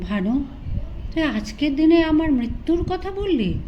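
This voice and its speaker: A woman's voice: sits high, sing-song, unhurried, voice pushed up. A woman narrator voicing a proud maharaja, an indulgent yet imperious husband, teasing and commanding in an audio story. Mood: wounded reproach. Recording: dull lo-fi recording with loud noise.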